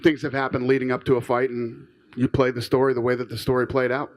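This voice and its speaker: A man's voice: medium pitch, flat delivery, quick pace. Matter-of-fact promoter, man. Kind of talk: press conference opening and answers.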